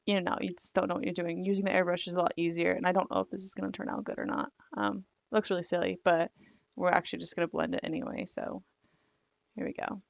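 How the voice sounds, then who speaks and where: A young woman talks through a digital drawing tutorial in a rambling, filler-filled way. Her voice is gravelly and croaky because she is losing it. The recording is dry and a little dull, like a home desk mic. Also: fairly low pitch, quick pace, no room sound. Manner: rambling, filler-heavy, apologetic. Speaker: digital art tutorial host.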